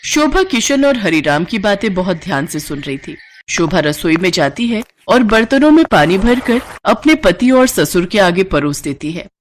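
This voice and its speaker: Casting: female storyteller, mid-pitched, delivering scripted narration for an animated tale. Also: normal speed, slight background noise.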